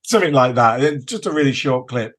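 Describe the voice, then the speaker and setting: A man's voice: medium pitch, expressive, fairly quick, a bit bright. A man chatting casually as a podcast co-host, hesitant and meandering as he searches for words. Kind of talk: casual chat about films.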